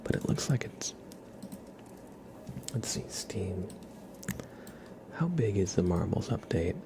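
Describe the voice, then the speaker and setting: A man hosting an ASMR livestream, chatting casually to viewers in a soft, mostly whispered, gentle and unhurried murmur. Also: sits low, very animated, background noise.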